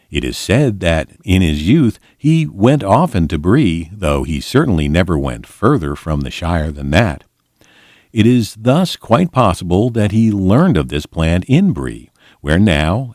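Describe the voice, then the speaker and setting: Deep-voiced man reading expressively aloud. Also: darkish tone, dry recording, clean studio sound. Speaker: volunteer storybook reader. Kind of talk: scripted audiobook narration of a fantasy book.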